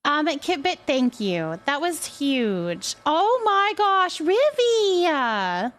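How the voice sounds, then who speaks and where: Gushing Canadian woman streamer, fairly high voice. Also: sing-song, drawn-out words, a bit bright. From British Columbia, Canada. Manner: effusive, affectionate, repeating thanks and words for emphasis. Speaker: livestreamer. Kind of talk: casual livestream chat with viewers.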